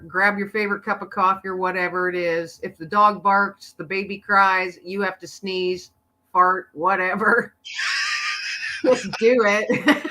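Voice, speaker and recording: A middle-aged woman, a podcaster and author, chatting as a guest and telling stories animatedly with frequent laughter. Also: medium pitch. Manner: animated storyteller, laughs often. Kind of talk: casual interview chat.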